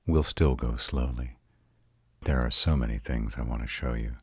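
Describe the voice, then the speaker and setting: An arrogant yet devoted vampire, played by a man for a romantic roleplay audio. His voice is extraordinarily deep with a husky rasp, recorded dry and close. He speaks deliberately and tenderly, like a patient, intimate teacher. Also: no echo, heavily acted. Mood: gentle, reassuring. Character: arrogant male vampire, devoted mate and patient mentor to a newly turned partner.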